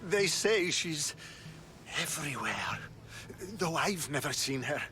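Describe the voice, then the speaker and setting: A fearful, gossiping Greek worshipper in a video game. He speaks in dramatic warnings, his bright voice swinging widely up and down. Music plays softly behind. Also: on the high side, expressive, slow, full character voice. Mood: ominous, hushed awe. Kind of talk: video game cutscene acting.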